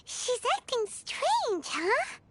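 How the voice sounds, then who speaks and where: A woman voicing a small, excitable, childlike fairy companion in a video game, chatty and whiny, with a squeaky voice. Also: extremely slow, no room sound, full character voice. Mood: puzzled, curious. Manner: chatty, whiny, exclaims, speaks of herself in third person. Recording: loud game music behind.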